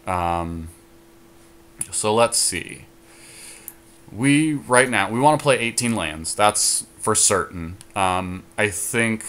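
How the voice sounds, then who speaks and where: Male podcast co-host, lowish register, off-the-cuff gaming commentary, faint noise behind him. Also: fairly bright tone. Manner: crude joking. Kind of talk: casual game commentary while drafting a card deck. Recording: noticeable background noise.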